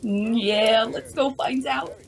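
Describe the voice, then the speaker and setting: A woman's voice: a little high, slow pace, gravelly. A woman playing a kindly, motherly wife and hostess in a radio play, homey and hospitable.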